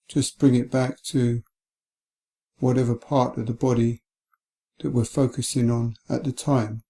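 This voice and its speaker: A man guiding a meditation in a gentle, calm, unhurried way, leaving long pauses between phrases. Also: mid-range voice, gravelly. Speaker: meditation guide. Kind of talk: guided body scan meditation.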